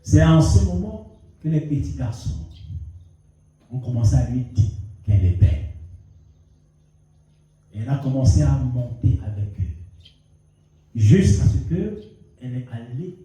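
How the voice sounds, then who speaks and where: A male pastor with a cold, preaching a sermon and telling a story with lively rising and falling emphasis. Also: mid-range voice, unhurried, long pauses. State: has a cold. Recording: echoey hall, mic at a distance.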